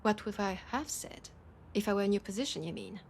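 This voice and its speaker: A woman playing a proud but desperate vampire leader in an intimate audio drama, with a French accent and a halting, breathy delivery that slips toward whispers. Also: medium pitch, expressive, a little hiss or hum. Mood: grateful, hesitant. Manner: hesitant, halting, breathy asides dropping into whispers.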